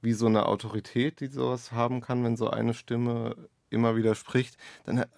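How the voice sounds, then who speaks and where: A man's voice: medium pitch, flat delivery, moderate pace, vocal fry, a little mellow, dry recording, studio quality. A man speaking as an author in an interview, reflecting in a searching, winding way on his own writing. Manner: tentative, searching, winding sentences.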